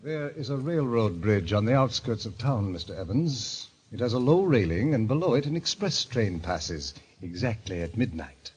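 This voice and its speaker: An actor playing a suave, sinister gentleman who runs a deadly gambling club, formal and coolly courteous, in old-time radio drama style. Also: lowish voice, very animated, normal speed, hardly any pauses, slightly rough, full character voice. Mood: calm, formal. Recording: muffled vintage radio recording.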